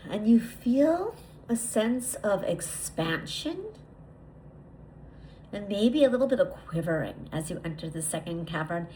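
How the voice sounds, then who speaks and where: A woman leading a guided visualization, speaking to the listener in a deliberate, repetitive way with lingering pauses. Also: mid-range voice, sing-song, dark tone, a little hiss or hum, low-quality recording. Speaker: guided meditation leader.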